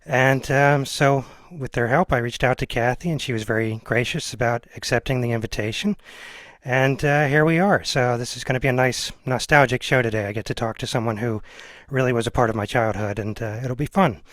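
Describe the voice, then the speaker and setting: A man's voice: medium pitch, brisk, a bit bright, no echo. An American man around forty hosting a casual interview, speaking informally and a bit hesitantly. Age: 30s or 40s. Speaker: podcast host, film teacher.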